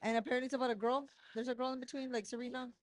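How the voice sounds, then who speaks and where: Very fast-talking, high-voiced woman. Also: level tone. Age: 30s. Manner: switches between Spanish and English. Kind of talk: casual bantering chat.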